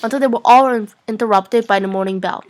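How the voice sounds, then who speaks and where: A woman's voice: fairly high pitch, fast. Young woman reading fan fiction aloud. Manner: playful, stumbling over lines, giggly. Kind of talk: amateur dramatic reading of a story.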